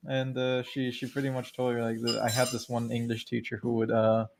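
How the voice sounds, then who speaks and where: A man's voice: medium pitch, completely flat delivery, a little fast, creaky, slight background noise. A man from Germany whose first language is German, chatting casually as a podcast co-host and rambling with lots of fillers and restarts. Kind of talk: casual chat.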